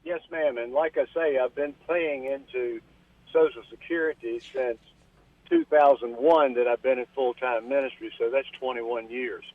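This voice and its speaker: A man's voice: medium pitch, steady tone, normal speed, no room sound. A man in his seventies calling in to a radio show, polite and earnest, addressing the host as ma'am. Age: 70s. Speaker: caller. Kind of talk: call-in radio question. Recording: phone-line call.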